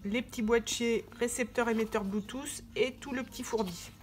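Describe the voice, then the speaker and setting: High-pitched woman presenting a tutorial. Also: fairly even intonation, moderate pace. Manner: informal step-by-step demonstrating, talking while handling parts. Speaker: tutorial presenter for a motorcycle gear channel. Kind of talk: hands-on product tutorial, unscripted explanation. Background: background music.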